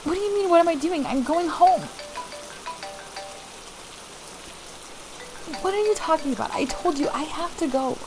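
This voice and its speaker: Steady rain runs behind a high female voice. An actress plays a distraught woman trapped with a controlling partner in an intimate dramatic roleplay. She pleads haltingly, repeating herself as she speaks. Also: background noise. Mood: urgent, evasive.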